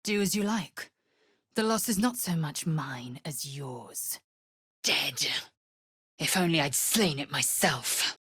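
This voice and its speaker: A woman's voice: sits low, expressive, takes it slowly, long pauses, a bit bright, breathy edge, no echo, clean studio sound, full character voice. An actress playing a fierce, proud alien warrior woman in a video game, speaking in a clipped, imperious, scornful way. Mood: scornful, commanding. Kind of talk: video game character voice lines.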